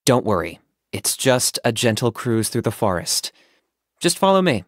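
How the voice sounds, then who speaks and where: A man voicing a calm, pragmatic young hunter in a video game, businesslike, deadpan and composed. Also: medium pitch, normal speed, no echo, studio quality, close to an everyday voice. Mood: calm, reassuring. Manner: deadpan, matter-of-fact, composed. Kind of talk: video game character voice acting.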